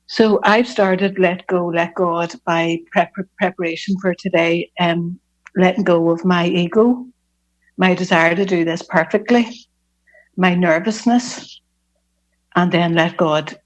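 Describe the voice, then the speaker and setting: A woman's voice: fairly low pitch, dull audio. A middle-aged woman from Northern Ireland with a Belfast accent, sharing her personal testimony at a recovery fellowship meeting in an earnest, reflective way with frequent hesitations.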